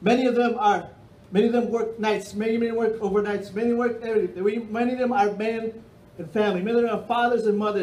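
A middle-aged man from Peru performing spoken-word poetry on a dark bar stage into a stand microphone, with a rhythmic, emphatic delivery. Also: high voice. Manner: rhythmic, emphatic delivery with sweeping hand gestures. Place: dark stage of a bar and music hall, indoors. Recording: roomy hall sound.

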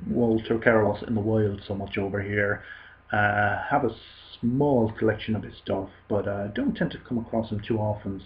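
A man with a Cork Irish accent talks slowly and haltingly, full of ums and restarts, in a fairly low voice. He's recorded on a desk webcam at home, so the sound is dull and lo-fi. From Ireland. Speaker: vinyl collector vlogger. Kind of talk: casual show-and-tell. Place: small indoor room at home.

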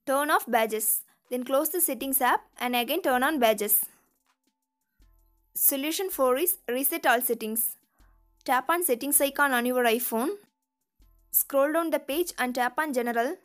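A woman with a high-pitched voice narrates a scripted how-to guide, going through it step by step. She speaks as the host of a tutorial channel. Music plays behind her voice. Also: a little slow. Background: background music.

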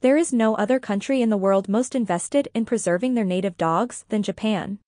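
A woman reading a scripted educational podcast narration in a measured, formal manner. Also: medium pitch, warm tone, no echo, studio quality.

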